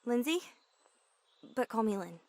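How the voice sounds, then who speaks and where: An actress playing a timid, modest young maid in a video game, hesitant and polite. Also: female voice, a little high, lively intonation, a little slow, dark tone. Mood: shy, embarrassed. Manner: hesitant, self-doubting, polite. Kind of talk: naturalistic video game acting.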